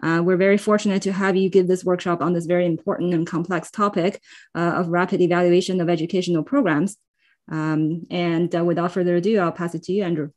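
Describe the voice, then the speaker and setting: A woman hosting a webinar, reading out a speaker introduction in a halting, hesitant way over a video call microphone. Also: fairly low pitch, fairly even intonation, a little mellow.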